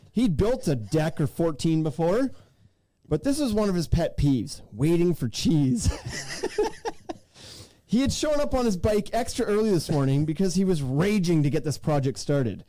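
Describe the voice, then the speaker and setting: A man's voice: on the high side, animated, measured pace, dark tone, dry recording. A man hosting a casual podcast, reading silly stories aloud in a jokey way and prone to laughing through his sentences. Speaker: podcast host.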